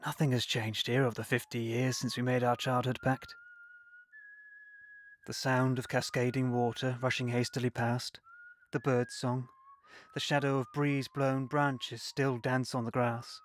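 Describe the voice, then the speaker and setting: A man's voice: medium pitch, little pitch movement. Older male narrator, wistful, mid voice, light hiss. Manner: slow, wistful. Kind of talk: scripted reflective narration.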